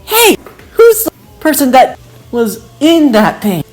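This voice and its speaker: A woman's voice: sits high, sing-song, slow, a little mellow, soft background music, voice pushed up, full character voice. A woman voicing an angry teenage schoolgirl fighter who snaps back indignantly. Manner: snappish and indignant.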